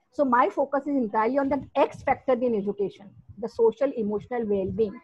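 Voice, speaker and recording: A woman's voice: on the high side, a little mellow, slightly rough, dry recording. A middle-aged woman from India with an Indian English accent, a psychologist turned educator telling her story with earnest emphasis on a video call. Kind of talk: panel discussion remarks. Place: home room, indoors. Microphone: laptop webcam on video call. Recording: muffled video call audio.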